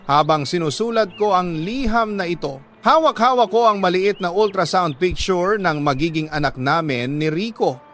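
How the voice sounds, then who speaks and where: A man with a Filipino accent reads a sentimental letter as a radio storyteller, in a measured, heartfelt, dramatic way with deliberate pauses between phrases. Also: high-pitched, fairly bright tone, faint music behind.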